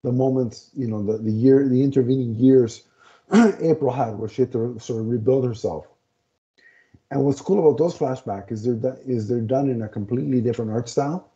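A man in a home room rambles through a comic review, stopping often to hunt for the right word. His voice is very dark and mellow. It is captured through a headset on a dull, lo-fi video call. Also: mid-range voice, fairly quick. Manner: rambling, often searching for words. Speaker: podcast co-host. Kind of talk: casual comic review chat.

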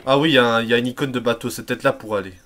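A man's voice: medium pitch, fairly even intonation, rapid-fire, background noise. A young man doing casual, mocking game commentary in a crude, grumbling, sarcastic way. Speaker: gaming video host. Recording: game music behind. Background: cartoon game music and dialogue.

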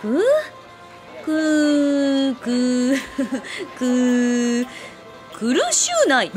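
A woman's voice: high-pitched, flat delivery, very slow. A woman playing a brash, teasing tomboyish young woman in a light radio drama, blunt and giggly. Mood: teasing, amused. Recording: music behind the voice.

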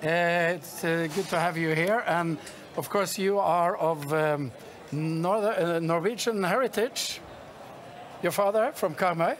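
A middle-aged man from Norway interviewing guests in a large expo hall, playful but halting with many fillers. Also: high-pitched, a little hiss or hum. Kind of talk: casual interview.

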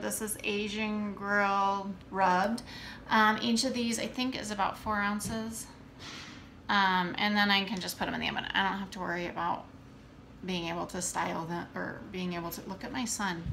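A woman in her thirties casually showing her meal prep at home, talking in an unhurried way with many fillers. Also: medium pitch, gravelly, pushed and bright. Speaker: fitness coach vlogger. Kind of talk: casual how-to vlog. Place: home kitchen, indoors. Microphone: camera on the counter. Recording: echoey kitchen with room noise.

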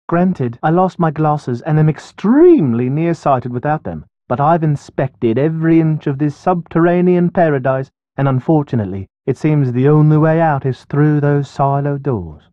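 A man's voice: fairly high pitch, dry recording, lo-fi sound, lightly acted. An actor voicing a flippant, nearsighted, hungry Englishman trapped underground in a video game, with a wry, wordy manner. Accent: British. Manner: wry, wordy, posh turns of phrase. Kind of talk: video game character dialogue.

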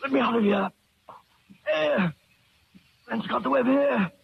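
An actor playing a desperate old villain gasping for air in a 1940s radio melodrama. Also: male voice, extremely high pitch, unhurried, creaky, slightly rough, dry recording, exaggerated voice. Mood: frantic, panicked. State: gasping for breath. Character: trapped, suffocating old villain, frantic and desperate. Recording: dull noisy 1940s radio recording.

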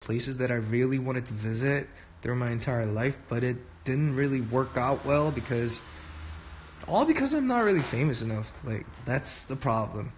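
Young man on a phone live stream, relaxed comment-reading chat, dim room, easygoing mid-pitch voice. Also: moderate pace, slight background noise. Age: young adult. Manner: relaxed, chatty, switching between English and Korean. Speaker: livestream host. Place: dim room indoors at night. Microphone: phone held close at arm's length.

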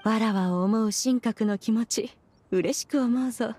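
A woman voicing a proud immortal mistress in a Japanese video game, with a husky voice and regal, archaic, haughty delivery. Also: fairly high pitch, measured pace, warm tone. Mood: gracious.

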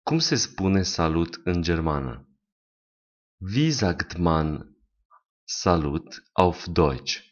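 A man teaching German phrases in a slow lesson, saying each phrase twice with the second repeat slower. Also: deep voice, big pitch swings. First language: Romanian. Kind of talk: slow language lesson with repetitions. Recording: dull lo-fi recording.

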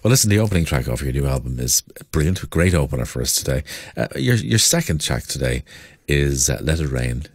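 A man's voice: rumbling low, very animated, brisk, hardly any pauses, no echo, clean studio sound. A man hosting a radio interview, putting questions to his guest and introducing songs.

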